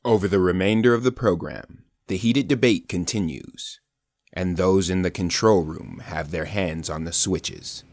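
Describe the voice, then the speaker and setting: A man's voice: sits low, a little slow, darkish tone, dry recording. A man narrating a scripted audio drama, describing the action as a storyteller.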